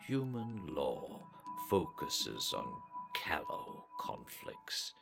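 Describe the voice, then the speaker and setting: A man reading a literary story aloud in a solemn, deliberate way, breaking phrases with long pauses. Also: very deep voice, sing-song, creaky, over music. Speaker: narrator reading a translated story.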